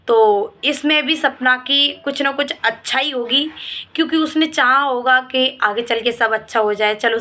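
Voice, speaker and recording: A woman's voice: on the high side, pushed and bright. A woman vlogger giving opinion commentary straight to her viewers, in an emphatic, reproachful way that leans on repeated points.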